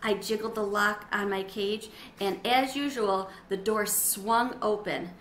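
A middle-aged woman giving an expressive, dramatized read-aloud of a children's story. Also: mid-range voice, measured pace. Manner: animated, dramatizing. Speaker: storybook reader. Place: small sunroom with windows, indoors. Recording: roomy echo, faint background noise.

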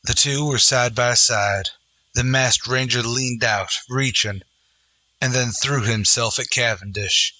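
A man's voice: medium pitch, takes it slowly, pushed and bright, no echo. A man narrating a western adventure in dramatic, scripted old-time radio style.

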